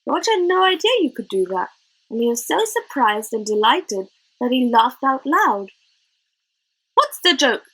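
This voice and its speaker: A woman reading a children's novel aloud as narrator, in an expressive storybook style. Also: on the high side, normal speed, slightly rough, low-quality recording.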